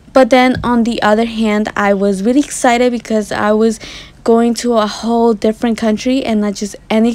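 A woman's voice: on the high side, dry recording. Young woman narrating a travel vlog. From Ecuador. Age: 20s. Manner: chatty, earnest, laughs softly. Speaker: vlogger. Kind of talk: voiceover narration.

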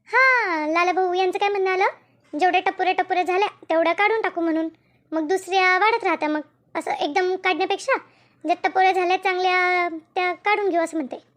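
A woman's voice: very high voice, steady tone, fast talker, fairly bright tone. A woman voicing a chatty village woman in a comic cartoon, with a rural Maharashtrian Marathi accent and colloquial, repetitive talk. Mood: agreeable. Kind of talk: animated comedy dialogue.

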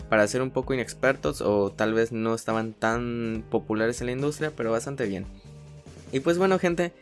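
A man giving a casual anime review as a voice-over, chatty and full of fillers. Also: mid-range voice. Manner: chatty, frequent filler "pues", restarts. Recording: voice-over with faint music behind.

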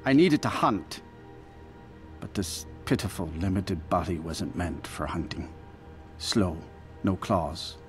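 An actor playing a remorseful imprisoned werewolf in human form, brooding and halting, in video game dialogue. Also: male voice, sits low, music in the background. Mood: haunted, then feral, hungry.

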